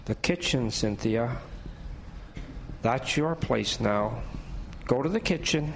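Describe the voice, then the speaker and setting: An actor playing a sly, insinuating retired reverend who is a ghost in an audio drama, deliberate and taunting. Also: male voice, medium pitch, lively intonation, gravelly, a little hiss or hum. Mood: quietly commanding. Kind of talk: audio horror drama acting. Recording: reverberant hall, music underneath.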